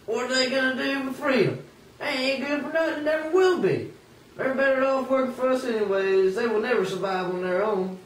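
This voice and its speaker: A man acts out a cruel, domineering slave master for a puppet show. The voice is pushed high and barks with contempt, over a noisy, echoey amateur recording. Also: a little fast, distant mic. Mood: indignant, scornful. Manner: barking, contemptuous orders.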